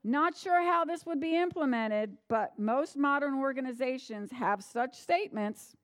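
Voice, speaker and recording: High-voiced woman addressing a meeting. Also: measured pace, dry recording. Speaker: meeting presenter handing over to moderators. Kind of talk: addressing a meeting, reading out written comments.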